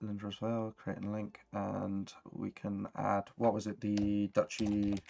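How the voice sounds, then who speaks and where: Male stream host, low register, chatty and encouraging, casual livestream delivery. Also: monotone, measured pace, gravelly, mellow, slight background noise. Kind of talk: casual worldbuilding livestream chat.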